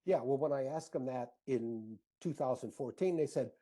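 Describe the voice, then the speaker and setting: A man speaking casually as an interview guest, halting and repeating words as he thinks. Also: medium pitch, mellow.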